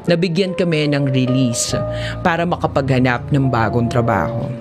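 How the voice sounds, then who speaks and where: A woman with a Filipino accent narrates a first-person life story in a measured, confessional audiobook drama style. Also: low-pitched. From Philippines.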